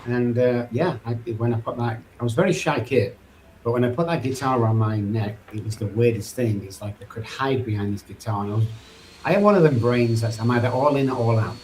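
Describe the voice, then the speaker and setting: A man's voice: medium pitch, a little fast, darkish tone, poor audio. A middle-aged man from England with a Manchester accent, a podcast guest telling his own story in an earnest, loose, rambling way. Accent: Mancunian English. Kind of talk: candid personal recovery story. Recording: faint background noise.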